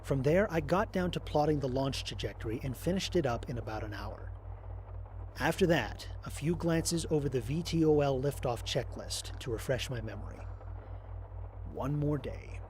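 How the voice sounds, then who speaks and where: A man playing a weary, wry human astronaut narrating his mission log in an audio drama, with a dry, expressive storytelling delivery. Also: mid-range voice, normal speed, slight background noise, natural voice. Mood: businesslike.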